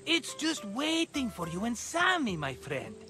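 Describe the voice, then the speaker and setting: A man's voice: very high voice, wildly swooping pitch, unhurried, over music, heavily acted. An actor playing a cheerful, scheming merchant in a video game, with theatrical, ingratiating salesman patter. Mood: wheedling, upbeat. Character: cheerful scheming male merchant, wheedling and excitable. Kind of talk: video game character acting.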